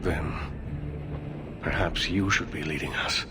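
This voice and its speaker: A man's voice: a bass voice, slow pace. A man playing a proud, dignified mage leader in a video game, with a formal, pointed manner. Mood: indignant. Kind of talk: video game cutscene acting. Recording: clear background ambience noise.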